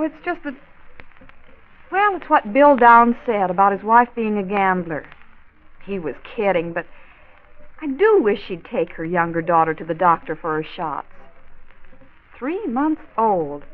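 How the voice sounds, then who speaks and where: An American actress playing a concerned, polite and inquisitive doctor's wife in a 1940s educational radio drama. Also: female voice, mid-range voice. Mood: worried. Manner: polite, questioning, expressive. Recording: tinny, narrow-band 1940s radio recording.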